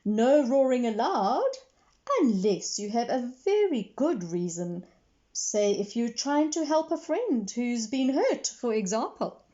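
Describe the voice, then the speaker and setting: A woman's voice: fairly high pitch, sing-song, takes it slowly, warm tone, muffled recording. A woman voicing a fussy, rule-bound man who works in a library, speaking emphatically in a children's storybook. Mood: earnest, pointed. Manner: emphatic, officious, calling out.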